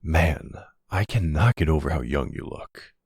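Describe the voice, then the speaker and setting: Man playing a very deep, gravelly mafia boss. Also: expressive, unhurried, darkish tone, no echo, clean studio sound, exaggerated voice. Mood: charming, flirtatious.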